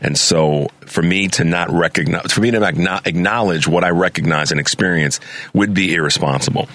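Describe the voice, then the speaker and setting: A man's voice: low voice, fairly quick, a bit bright. A man hosting a talk radio show, delivering a fervent, preachy political monologue and repeating words as he gets worked up. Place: radio studio. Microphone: studio mic.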